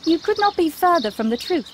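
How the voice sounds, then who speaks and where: A woman's voice: very high-pitched, slight background noise. An actress playing a guarded, defiant Victorian woman suspected of murder in a video game. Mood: cool defiance. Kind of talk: video game cutscene acting.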